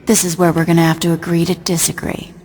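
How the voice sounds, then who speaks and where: An actress playing a ruthless, sarcastic street gang boss in a video game, blunt and cocky. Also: female voice, on the low side, monotone, fast talker, darkish tone, close to an everyday voice. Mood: menacing, sarcastic. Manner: blunt, cocky, foul-mouthed. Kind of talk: video game cutscene acting.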